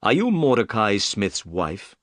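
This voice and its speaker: A guy doing a shrewd polite detective for a storybook, confident, very lively delivery, no room sound. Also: on the low side, a little slow, dry recording. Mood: polite, inquiring. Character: composed, courteous, shrewd male detective.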